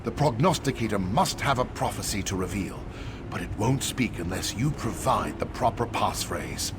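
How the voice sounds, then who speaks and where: A man playing an earnest fantasy game townsman, talking in a measured, articulate, conspiratorial manner. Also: fairly low pitch, animated, over music, close to an everyday voice. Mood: earnest, urgent. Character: earnest male fantasy townsman confiding a secret. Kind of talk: AI-voiced fantasy game dialogue.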